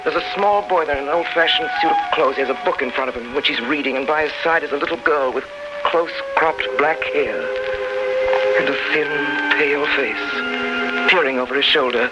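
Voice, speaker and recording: Man acting wistful young man, 1930s radio drama, earnest and wondering, dull vintage recording, loud music behind. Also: a little high, moderate pace, close to an everyday voice. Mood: dazed wonder. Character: saddened, wistful young man narrating his past and dreams.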